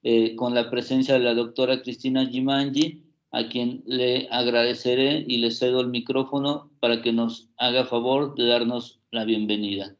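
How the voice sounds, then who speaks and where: A man's voice: mid-range voice, little pitch movement, moderate pace, lo-fi sound. A man moderating a university event, formally introducing a speaker and handing over the microphone, with frequent fillers.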